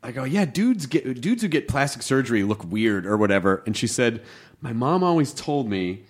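A man's voice: medium pitch, very animated, normal speed. A man interviewing in a casual, rambling, slangy way. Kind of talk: casual chat.

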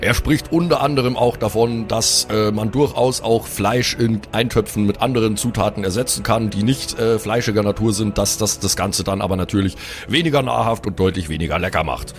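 A man's voice: fairly low pitch, fairly quick, faint music behind, lightly acted. A man playing a bookish, know-it-all dwarf in an improvised fantasy audio drama, wordy and lecturing.

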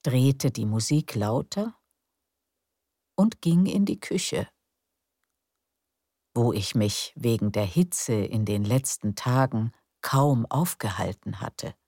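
Woman narrator, bedtime story, very deep voice, warm and mellow, soothing, dry close mic. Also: animated, no echo. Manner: slow, soft and soothing.

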